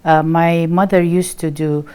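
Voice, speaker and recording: Low-voiced woman from Turkey. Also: steady tone, measured pace, mellow, smooth. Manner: plain, hesitant answers. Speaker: guest, chef and table stylist. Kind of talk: studio interview. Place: TV studio.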